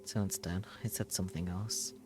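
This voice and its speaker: Low-voiced man, solemn dramatic narration. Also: fairly even intonation, a little mellow, a little hiss or hum. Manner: solemn, measured, short halting phrases. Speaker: streamer reading a visual novel aloud. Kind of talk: dramatic scripted first-person narration.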